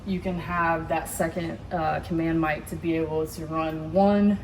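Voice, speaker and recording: A woman with a fairly low voice presents products in an informal, instructional way. She is plain-spoken, and there is clear background noise around her. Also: fairly even intonation, a little slow. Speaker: boating store product presenter. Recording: clearly noisy background ambience.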